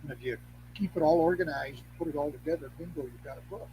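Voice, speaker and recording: An older man in casual, rambling conversation as a podcast guest, halting and repeating words for emphasis. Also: a little high, warm tone, husky. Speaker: podcast guest, elder of a witchcraft tradition. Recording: noisy line with hum.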